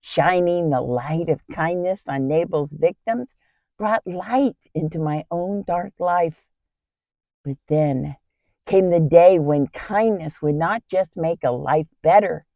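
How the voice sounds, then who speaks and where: A woman's voice: sits low, slow pace, vocal fry, dark tone, no echo, dull audio, heavily acted. A woman playing a kind, brave biblical wife in an earnest, theatrical dramatic monologue. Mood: earnest, reflective. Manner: theatrical, earnest, emphatic storytelling. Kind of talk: dramatic first-person Bible monologue.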